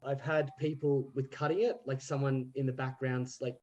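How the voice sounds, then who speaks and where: A man in casual podcast chat about theatre, telling stories in an animated way and laughing often. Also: medium pitch, moderate pace, highs cut off, slight background noise. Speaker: theatre group member.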